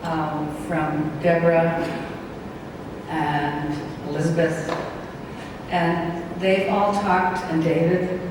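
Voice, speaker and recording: A woman giving an acceptance speech at an awards dinner, slow and hesitant with frequent ums and restarts. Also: on the low side, flat delivery. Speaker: award honoree. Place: large banquet hall. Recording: echoey banquet hall from far off, noisy.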